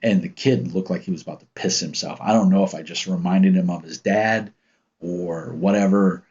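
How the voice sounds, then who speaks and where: Male streamer, 50s, from the States, deep commanding husky voice, loose casual storytelling, dull lo-fi sound. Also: normal speed. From United States. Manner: rambling, relaxed, with many ums and restarts. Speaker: channel host reading live chat. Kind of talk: casual livestream chat and storytelling. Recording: muffled lo-fi stream audio.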